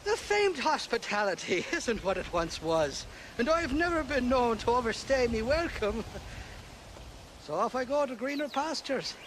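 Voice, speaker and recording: An actor playing a merry, forgetful Irish rogue in a game cutscene, rambling and hesitant with chuckles. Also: male voice, very high-pitched, big pitch swings, moderate pace, a bit bright, a little hiss or hum, full character voice. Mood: breezy. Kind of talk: video game cutscene acting.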